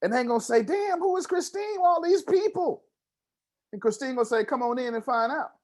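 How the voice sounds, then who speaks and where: A man's voice: very high voice, lively intonation, brisk, a little mellow. A man leading an online group call as a coach, talking informally and emphatically in a motivational style, often checking that listeners follow. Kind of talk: animated motivational coaching talk.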